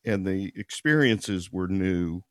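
A man's voice: low-pitched, lively intonation, slow pace, a bit bright, dry recording. A man guest in an interview, making dry jokes about his marriage. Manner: dry joking. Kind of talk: casual chat.

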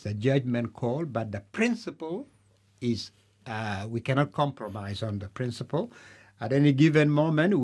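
A middle-aged man speaks in a formal interview. His pitch sits in the middle, and he builds his answers deliberately, stacking phrases with measured pauses for emphasis. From Uganda. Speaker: candidate being interviewed.

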